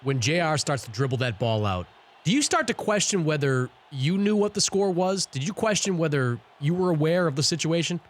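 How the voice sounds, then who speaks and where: A man's voice: on the high side, animated, a little fast. A man hosting a sports radio show, putting questions and comments to a guest in a conversational interview style.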